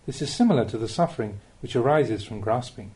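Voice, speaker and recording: A man, a Buddhist monk, reading a scripted spiritual teaching as an audiobook, with measured, deliberate phrasing and rhetorical questions. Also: medium pitch, lively intonation, mellow.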